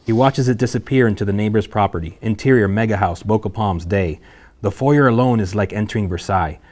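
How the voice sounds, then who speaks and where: A man's voice: on the low side, fairly quick, darkish tone. A man reading scene headings and action lines aloud as the narrator at a screenplay table read.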